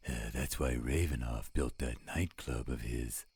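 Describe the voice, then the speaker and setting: A man acts out a protective, gruff werewolf in a roleplay drama. The voice is extremely deep and husky, delivered slowly, and recorded very dry and close. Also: a little mellow, no room sound, exaggerated voice. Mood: gruff, restless. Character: gruff, edgy male werewolf, protective of companions. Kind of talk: audio roleplay acting.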